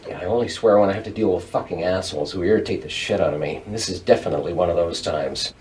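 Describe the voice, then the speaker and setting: A man's voice: deep voice, fast talker, a little mellow, close to an everyday voice. An actor playing an angry, foul-mouthed, defensive man who argues in exasperation, in a naturalistic drama. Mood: contemptuous, irritated. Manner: swearing, combative, exasperated. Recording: distant microphone.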